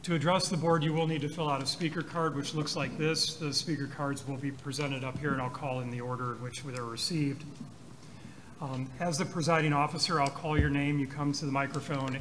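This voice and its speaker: A man's voice: mid-range voice, level tone, fairly quick, fairly bright tone. Man, board chair, hesitant, lots of ums, city council chamber, noisy recording. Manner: hesitant, many ums and uhs. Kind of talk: formal meeting chairing. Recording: noisy public meeting audio.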